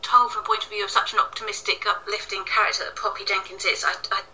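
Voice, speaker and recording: Bright, sharp-voiced woman on a distant mic. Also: mid-range voice, little pitch movement, quick pace. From Wales. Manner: self-deprecating. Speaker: author being interviewed. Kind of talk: casual interview answers. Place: home kitchen, indoors. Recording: echoey kitchen, distant tinny call sound.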